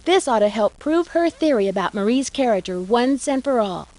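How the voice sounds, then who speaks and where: A woman playing a plucky, curious young amateur detective in an adventure game, with an American accent and clear, earnest delivery. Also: fairly high pitch, animated, normal speed, darkish tone, close to an everyday voice. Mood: cheerful, recounting. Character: Nancy Drew, plucky curious young female amateur sleuth. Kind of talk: scripted game dialogue, thinking aloud.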